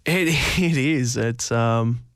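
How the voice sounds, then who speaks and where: A man's voice: medium pitch, takes it slowly, fairly bright tone, dry recording, studio quality. A man in his twenties being interviewed, speaking personally in a hesitant, reflective way. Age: 20s.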